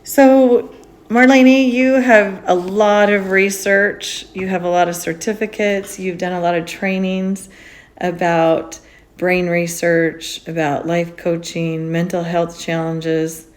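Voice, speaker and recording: A woman hosting a casual podcast, chatty and upbeat, with lively emphasis as she interviews a guest. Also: lowish voice, slow pace. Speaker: podcast host. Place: indoors on a road trip in Utah mountains.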